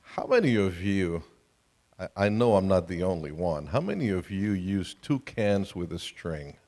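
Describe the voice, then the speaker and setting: A man's voice: sits low, animated, measured pace, darkish tone. A middle-aged or older man with a Colombian accent, giving a conversational family seminar talk to an audience. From Colombia, South America. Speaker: seminar speaker. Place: large hall at a camp meeting. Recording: reverberant hall sound system.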